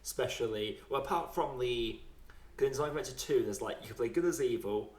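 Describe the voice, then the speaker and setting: Mid-pitched man, noticeably echoey room. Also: brisk, darkish tone. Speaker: podcast host. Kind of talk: casual podcast chat.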